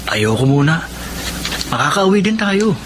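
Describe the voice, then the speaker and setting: A young Filipino working man in a radio drama, patient and hopeful. His voice sits a little high and sounds everyday and natural. Clear ambient noise runs behind him. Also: big pitch swings, a little slow. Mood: reassuring. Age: young adult. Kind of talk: Tagalog radio drama acting.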